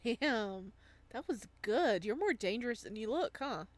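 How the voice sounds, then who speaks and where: A woman's voice: fairly high pitch, expressive, a little slow, dry recording. A woman reading a visual novel aloud as a let's play, gushing and gasping in her reactions.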